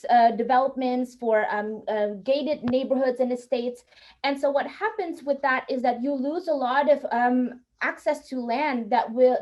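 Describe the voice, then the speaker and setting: A woman, answering audience questions in a webinar in an earnest, halting way, with many fillers and restarts. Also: high-pitched, a little mellow, lo-fi sound. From Indonesia. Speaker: guest speaker.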